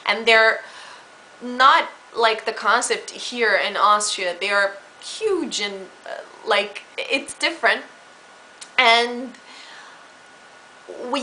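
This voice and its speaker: A woman talks casually about her home country, restarting her sentences and filling gaps with "um" and "like." Her voice is bright and sits a little high. A faint background noise runs under the recording. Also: rough recording. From Brazil. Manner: chatty, explanatory, with fillers and restarts. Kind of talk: casual spoken talk.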